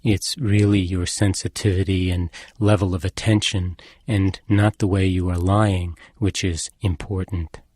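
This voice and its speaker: A man leading a guided meditation as an instructor, speaking in a calm, measured and gently instructing manner. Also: sits low, husky, no room sound.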